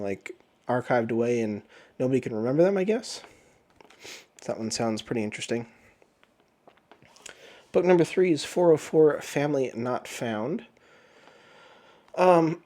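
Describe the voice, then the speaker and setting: A man hosting a book review channel, chatting casually while sick with the flu, his voice a bit off, often clearing his throat. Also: mid-range voice, darkish tone. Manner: rambling, frequent throat clearing and filler words. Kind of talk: casual chat.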